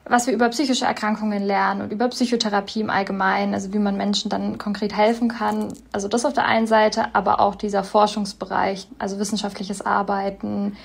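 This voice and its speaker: A woman's voice: medium pitch, flat delivery, brisk, creaky, few pauses, slightly rough. A twenty-three-year-old woman talking in an interview, eager and full of fillers. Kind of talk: casual interview talk.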